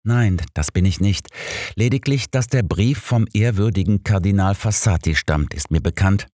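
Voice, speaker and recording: A man's voice: sits low, normal speed, warm tone, pitched above the performer's own voice. A man voicing a polite, deferential priest in an audiobook, with a formal manner. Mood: respectful. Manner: formal, courteous. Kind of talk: audiobook character dialogue.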